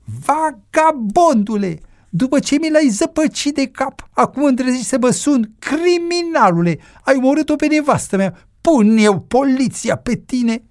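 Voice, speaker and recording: Enraged shopkeeper father performed by a narrator, high strained roar, accusatory, grief-stricken, storybook villainy. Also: lively intonation. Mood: furious. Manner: shouting, drawn-out furious cries.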